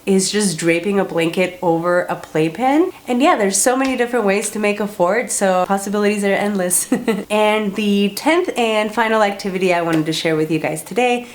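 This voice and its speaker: A woman's voice: medium pitch, normal speed, a little hiss or hum. A woman from Colombia talking casually to camera as a parenting vlogger, upbeat and chatty, with plenty of filler words.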